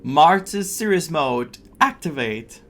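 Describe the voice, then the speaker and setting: A man's voice: a little high, expressive, takes it slowly, fairly bright tone. A man giving casual playthrough commentary as a game streamer, sometimes reading dialogue aloud. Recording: game music faintly behind.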